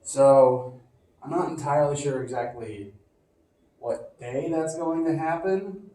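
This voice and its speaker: A man's voice: on the high side, slow. A male physics professor lecturing casually to a class, sniffly from allergies, with many fillers and restarts, recorded on a phone across the room. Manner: relaxed, chatty, with frequent fillers and restarts. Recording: echoey classroom, distant phone mic.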